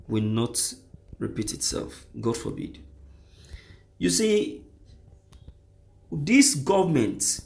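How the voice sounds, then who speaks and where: A man's voice: mid-range voice, darkish tone. A man with a Nigerian accent reading out news and giving impassioned commentary, repeating phrases for emphasis.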